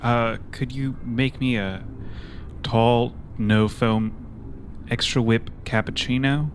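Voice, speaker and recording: A man's voice: medium pitch, fairly even intonation, slow, a little hiss or hum, close to an everyday voice. A man playing an earnest, polite shadowrunner in a tabletop game, speaking in a formal, slightly hesitant and fussy way. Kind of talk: improvised tabletop roleplay.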